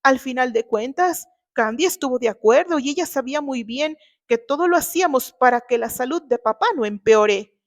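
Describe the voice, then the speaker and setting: A woman's voice: sits high, animated, moderate pace. A woman voicing a brash, scheming young man in a dramatized novel reading, spiteful and accusing. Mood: defensive. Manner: accusing, stammering when cornered.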